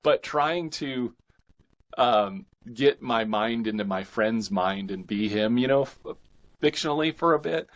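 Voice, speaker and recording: A man speaking as an author guest in a casual interview, with halting, thoughtful phrasing. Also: mid-range voice, lively intonation.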